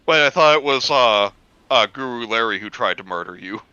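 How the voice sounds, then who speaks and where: Male co-host, bright animated delivery, casual chat, close dry lo-fi sound. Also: mid-range voice, moderate pace, clear, resonant tone, no echo.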